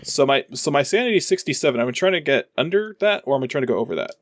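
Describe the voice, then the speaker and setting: A man's voice: medium pitch, expressive, very fast, noisy background. A man talking casually as a player in a tabletop roleplaying game, sweary and given to exclamations.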